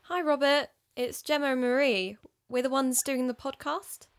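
A woman's voice: sits high, fairly even intonation, moderate pace. A woman playing a thoughtful, cautious podcaster turned amateur sleuth in a conversational audio drama. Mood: earnest.

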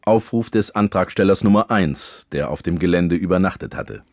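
A man playing a solemn, deadpan narrator in a mock documentary radio comedy. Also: deep voice, moderate pace, no echo, studio quality. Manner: deadpan, formal. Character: solemn documentary narrator logging bureaucratic ordeals.

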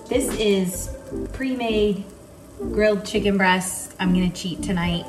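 A woman in her forties talks her viewers through a recipe in a roomy home kitchen, her voice at a middle pitch. She sounds a little off the mic, picked up by a camera across the room. Loud music plays under her voice, and pans clatter now and then. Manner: chatty, talks while working at the stove. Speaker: home cooking vlogger. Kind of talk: casual cooking demo. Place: large home kitchen, indoors. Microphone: far camera on tripod.

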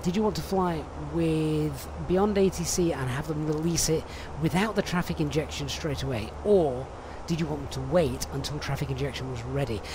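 A man's voice: on the high side, noisy background. A man streaming a flight simulator, chatting and reading out viewer questions with many ums and uhs. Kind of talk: live stream commentary.

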